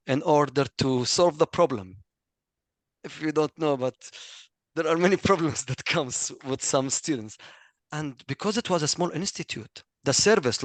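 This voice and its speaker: A man's voice: mid-range voice, a bit bright, no echo. A middle-aged man from Egypt with a thick Egyptian Arabic accent, giving an earnest testimonial in a webinar, full of fillers and self-corrections. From Egypt, raised in Kuwait. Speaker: former student speaker.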